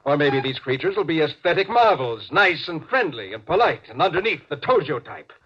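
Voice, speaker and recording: A noisy, muffled 1950s radio recording carries a suspicious, commanding spaceship captain. His voice is lively in pitch and swings from sardonic to domineering as he orders his crew about. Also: a little fast. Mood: brooding, sardonic. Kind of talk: 1950s radio drama acting.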